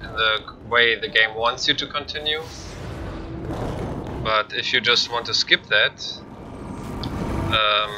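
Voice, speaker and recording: A man's voice: mid-range voice, noisy background. A man with a non-native English accent giving explanatory live commentary as he plays through a video game speedrun. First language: not English. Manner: explanatory, plain. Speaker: gamer, speedrunner.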